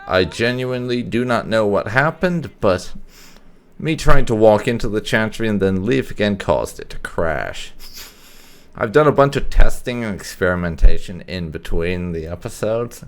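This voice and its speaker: A man hosting a casual let's play with light roleplay, explaining things in a wordy, measured way. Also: fairly low pitch, very animated. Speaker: gaming YouTuber, let's play host.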